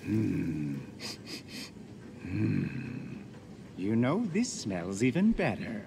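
A man's voice: lowish voice, huge pitch swings, drawn-out words, gravelly, background noise, full character voice. An actor playing a flamboyant, menacing villain henchman in a video game, theatrical and savouring his words. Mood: savouring menace. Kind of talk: video game cutscene acting.

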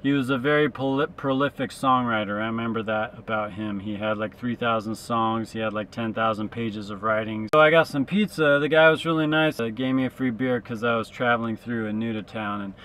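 A man's voice: mid-range voice, steady tone, a little fast, few pauses, fairly bright tone, a little hiss or hum. A man in his thirties, a traveling street musician, thinking aloud in a loose, reflective vlog monologue from inside his car to a dashboard camera. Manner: reflective, musing, loose with fillers.

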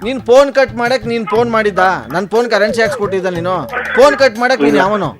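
Man acting irate, high-pitched, fast-scolding male customer. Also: a crowd in the background, natural voice. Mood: angry bullying. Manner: hectoring, scolding, sarcastic. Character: irate, bullying male customer demanding a hired vehicle. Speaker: radio prank-call host. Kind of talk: prank phone call.

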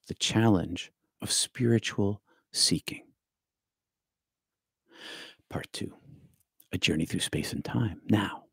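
Gravelly low-voiced older man, dry close mic. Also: darkish tone, no echo. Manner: reads from notes, drops to near whisper for emphasis. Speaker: spiritual teacher. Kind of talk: scripted spiritual talk to camera. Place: home study, indoors. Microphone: studio mic on stand with headphones.

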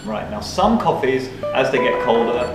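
A young man hosts a relaxed coffee tasting, talking with enthusiasm and often restarting his thoughts. He sounds set back from the microphone in a reverberant cafe room. Music plays clearly behind him. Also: medium pitch, normal speed. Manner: enthusiastic, thinks aloud with restarts. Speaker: coffee reviewer and show host. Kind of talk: casual tasting chat. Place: dim cafe interior, in a small room. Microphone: far camera mic. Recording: roomy, distant, with music behind. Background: background music.

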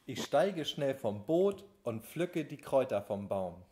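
A woman with a husky voice, patiently coaching a child to repeat lines in a casual, amused way. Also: very deep voice, very animated, unhurried, a little mellow.

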